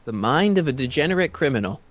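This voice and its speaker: A man's voice: a little high, big pitch swings, quick pace. A man voicing a curious, casual, slightly wry teenage boy in a video game. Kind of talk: video game character acting.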